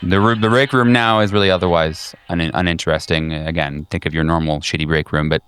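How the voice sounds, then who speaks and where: A man narrating a tabletop horror game as game master, improvising in a conversational, halting, descriptive way. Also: deep voice, steady tone, a bit bright, clear, resonant tone.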